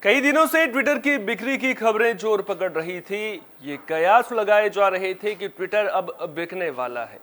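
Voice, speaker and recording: A man's voice: high voice, expressive, unhurried, hardly any pauses, fairly bright tone. A man reading a scripted television news bulletin as an anchor, in a formal, presentational manner.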